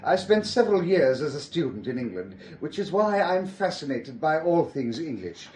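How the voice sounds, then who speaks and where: An actor playing a formal, over-polite police inspector in a radio drama. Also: male voice, a little high, very animated, normal speed, a little mellow. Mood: polite, probing. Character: courteous, talkative German police inspector, fond of English. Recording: echoey room, noisy background.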